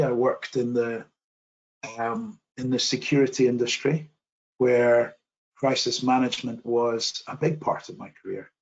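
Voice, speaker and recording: A middle-aged man giving an online presentation in a modest, measured way, often restarting his sentences. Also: mid-range voice, lo-fi sound. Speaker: webinar presenter.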